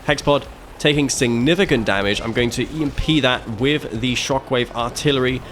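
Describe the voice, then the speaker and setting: A young man giving casual, animated play-by-play commentary on a strategy match he played, with frequent fillers and restarts. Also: mid-range voice, normal speed. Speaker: video game caster commentating his own match.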